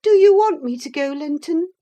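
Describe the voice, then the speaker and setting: A woman's voice: sits high, expressive, normal speed, dark tone, muffled recording, pitched above the performer's own voice. A woman voicing a hot-tempered young girl in an audiobook, passionate and crying out. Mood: pleading. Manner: passionate, cries out. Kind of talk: audiobook character reading.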